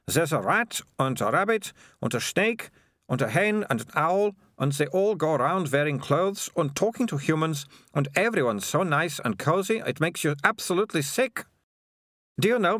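A man's voice: on the high side, big pitch swings, moderate pace, a bit bright, no echo, studio quality, voice pushed up. A man voicing a haughty, impatient, sarcastic young girl in an audiobook, with a scornful, blasé manner. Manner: scornful, blasé, drawling on mocked words. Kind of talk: audiobook character voice.

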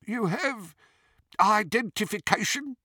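Old goblin banker for an audiobook, way high voice, fussy n officious, super formal. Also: male voice, animated, slow, pushed and bright, husky, no echo, clean studio sound, full character voice. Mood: suspicious, officious. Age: older. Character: elderly male goblin bank teller, officious and fussy.

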